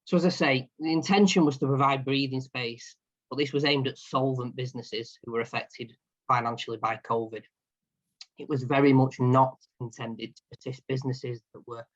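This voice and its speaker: Monotone man reading a webinar briefing. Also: medium pitch, lo-fi sound. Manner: steady, reads prepared notes. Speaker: partner and insolvency practitioner. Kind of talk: scripted webinar presentation.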